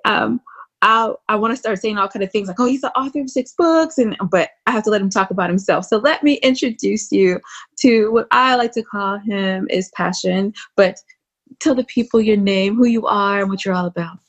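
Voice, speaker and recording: A woman's voice: medium pitch, moderate pace. A woman hosting a casual podcast interview, talking in an informal, flirtatious and teasing way. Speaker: podcast host.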